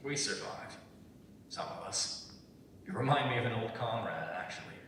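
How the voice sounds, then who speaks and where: A man playing a haunted, guarded war veteran in a staged reading, speaking in a measured, brooding way. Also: fairly bright tone, natural voice. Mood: wry, nostalgic. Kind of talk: staged dramatic script reading. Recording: very echoey, distant, low rumble.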